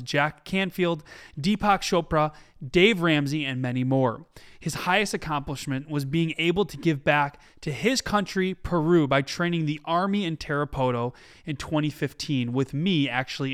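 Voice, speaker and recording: Upbeat, higher-voiced male podcast host. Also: smooth. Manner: upbeat and energetic, rising excitedly when greeting friend. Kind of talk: podcast interview, enthusiastic intro.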